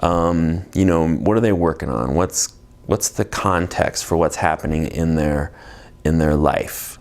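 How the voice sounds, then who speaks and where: A man's voice: rumbling low, unhurried, darkish tone. A man in his forties, an energy healer, giving a reflective, meandering spiritual talk with many fillers and restarts. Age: 40s. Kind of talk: unscripted spiritual teaching monologue.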